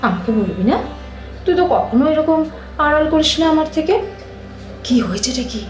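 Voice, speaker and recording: In an echoey room with music behind, a very high-pitched actress as a younger sister talks in an affectionate, coaxing way. She is playful and scatterbrained, acted expressively as in radio drama. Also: expressive, normal speed. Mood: coaxing, concerned. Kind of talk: Bengali audio drama acting.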